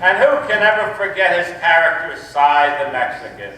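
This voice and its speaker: High, bright male narrator in echoey hall. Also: big pitch swings, normal speed. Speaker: narrator of a stage play. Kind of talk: scripted narration about old radio. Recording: echoey hall from far off, faint noise.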